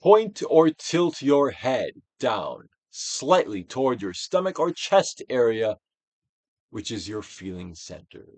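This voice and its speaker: A man giving a dramatic, deliberate reading of a self-help book as a guided exercise, with pauses and big swells. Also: mid-range voice, big pitch swings, a little mellow, no echo. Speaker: audiobook reader and actor.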